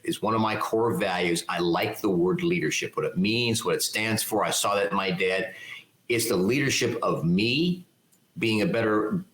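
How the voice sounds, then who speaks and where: Older man, low voice, loud and articulate, emphatic, echoey room. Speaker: keynote speaker and leadership mentor. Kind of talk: interview answers, conversational.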